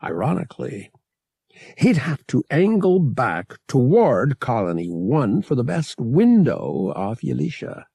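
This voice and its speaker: A man narrates an adventure story in a dramatic, deliberate audiobook style. His pitch moves widely and his pace is unhurried. The voice has a gravelly creak to it. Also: big pitch swings, dark tone, no room sound, studio quality.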